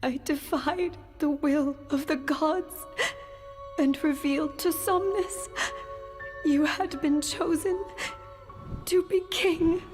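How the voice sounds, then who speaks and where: A woman's voice: high voice, fairly even intonation, takes it slowly, darkish tone, soft background music. An actress playing a guilt-stricken noblewoman in a video game, tearful and pleading with solemn, formal diction. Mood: remorseful. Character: guilt-stricken noble young woman begging for punishment. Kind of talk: dramatic video game acting.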